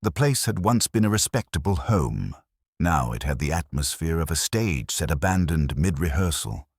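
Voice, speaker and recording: A man reading a first-person detective story as an audiobook narrator, in a wry, measured storytelling manner. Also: very deep voice, expressive, slightly rough, no room sound, studio quality.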